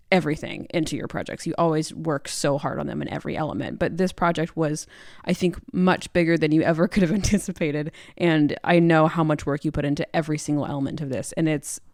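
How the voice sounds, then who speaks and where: A woman's voice: low voice, creaky, darkish tone, dry recording, studio quality. A woman hosting a casual podcast interview in an enthusiastic, supportive and effusive manner.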